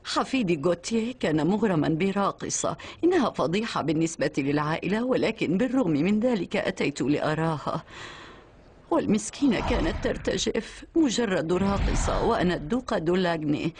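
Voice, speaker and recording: A woman's voice: lowish voice, animated, a little fast, a little mellow, slight background noise, natural voice. A woman acting a dignified elderly duchess. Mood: solemn, gentle. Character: elderly aristocratic duchess, a grandmother, dignified and tender. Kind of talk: dubbed cartoon acting in formal Arabic.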